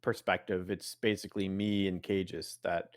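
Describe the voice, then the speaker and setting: A man's voice: sits low, little pitch movement, normal speed. A man playing a tabletop roleplaying game, bantering and discussing plans casually.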